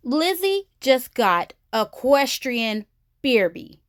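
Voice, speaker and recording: A woman's voice: on the high side, sing-song, takes it slowly, dry recording, studio quality, pitched above the performer's own voice. A woman voicing an eager, envious little girl bear cub in a storybook, with emphatic delivery.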